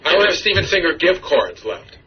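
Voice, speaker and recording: A man on a radio show's staff with a raspy voice, talking casually. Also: high-pitched, very animated, brisk. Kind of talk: casual studio talk. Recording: dull lo-fi radio recording.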